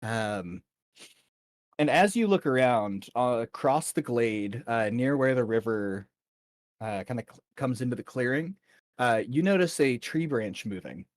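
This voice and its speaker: Man, game master, improvised tabletop narration, halting delivery, medium pitch, dry close sound. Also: lively intonation, dry recording. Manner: halting, pausing often mid-sentence.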